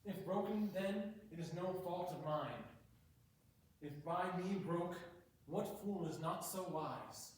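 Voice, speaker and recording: A man's voice: sits high, slow, a little mellow. A man playing an earnest, lovestruck young lord, declaiming Shakespearean verse fervently in a staged reading. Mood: ardent. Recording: very echoey, distant, noisy room.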